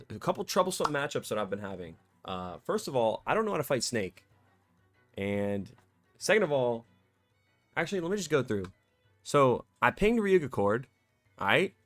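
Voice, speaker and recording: A male streamer chatting casually and excitably on a live stream, his voice a bit scratchy from cheering, in a warm home room. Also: lowish voice. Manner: excitable, slangy, rambling.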